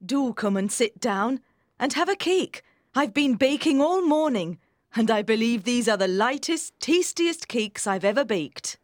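Woman as a fussy, higher-voiced mother. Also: expressive, a little slow, no room sound, clean studio sound. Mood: gushing welcome. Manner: fussing, exclamatory. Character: fussy, anxious mother, eager to please. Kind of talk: audiobook character voice.

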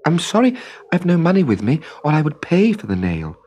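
A man's voice: on the high side, huge pitch swings, fairly quick, a little mellow, slight background noise, voice pushed up. A man voicing a timid, polite little girl in a storybook reading.

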